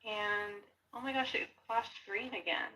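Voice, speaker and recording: A woman's voice: mid-range voice, fairly even intonation, unhurried, bright, forceful tone. A woman speaking casually as a paranormal investigator, asking questions aloud during a ghost hunt. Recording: distant mic, noisy ambience.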